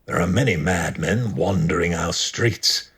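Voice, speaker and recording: A man plays a grave asylum doctor recounting a grim case in a horror audio drama. His voice is deep and gravelly, with a slow, ominous delivery. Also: a bit bright, a little hiss or hum. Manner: solemn, ominous. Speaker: doctor and narrator.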